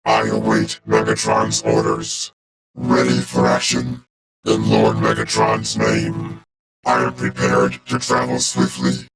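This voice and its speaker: A man voicing a cold, loyal robot warrior for a video game, speaking in a clipped, formal, deadpan way through a robotic filter. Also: very deep voice, slow, vocal fry, full character voice, low-quality recording. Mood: formal, commanding. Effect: robotic vocoder filter.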